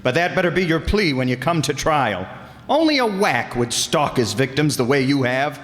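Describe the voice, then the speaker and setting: A man's voice: fairly high pitch, big pitch swings, moderate pace, fairly bright tone. A man playing a bold, wisecracking amateur sleuth in an old-time radio mystery, with a breezy, cocky delivery. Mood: wry, confident. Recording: reverberant hall stage recording.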